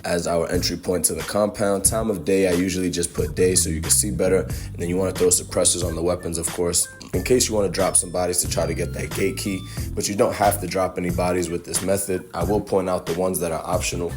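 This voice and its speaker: A young man with a very low voice talks through a game run in a relaxed, chatty way, with plenty of ums and uhs. His voice is a little hoarse from a cold. Loud game music plays clearly behind him. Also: fast talker, few pauses. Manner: laid-back, chatty, with many fillers. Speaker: gaming guide creator. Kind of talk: casual game walkthrough commentary.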